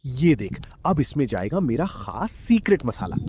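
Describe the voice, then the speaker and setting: A man's voice: mid-range voice, big pitch swings, normal speed, dark tone, close to an everyday voice. A man playing a hardworking, avuncular village father who sells street food in a cartoon story. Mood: hearty, proud. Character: hardworking village father selling spicy noodles, hearty, proud. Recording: music under the voice.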